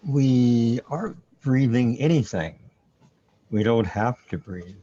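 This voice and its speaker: Guy playing a calm sidekick explaining stuff in a radio play, slow and mellow, muffled recording. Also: mid-range voice. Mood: matter-of-fact explaining. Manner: matter-of-fact, explanatory. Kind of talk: amateur radio play acting. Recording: dull lo-fi audio.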